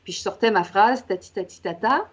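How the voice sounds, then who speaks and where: A woman's voice: mid-range voice, a little fast, husky, lo-fi sound. A woman in her fifties from Québec, speaking with a French accent as an author guest, reflective and fluent with many fillers. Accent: French, from France. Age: 50s. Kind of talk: casual interview talk.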